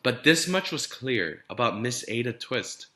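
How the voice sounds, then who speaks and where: A man reading a rhyming picture book aloud to children in a deliberate, dramatic teacher's storytelling style. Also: medium pitch, sing-song, bright, forceful tone. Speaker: elementary teacher reading to students.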